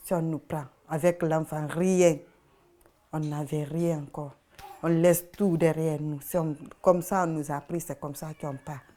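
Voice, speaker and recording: A woman, telling her story haltingly in short plain sentences for a documentary. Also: low voice, fairly even intonation, dark tone. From Guinea. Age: 20s. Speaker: interviewee. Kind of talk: documentary testimony.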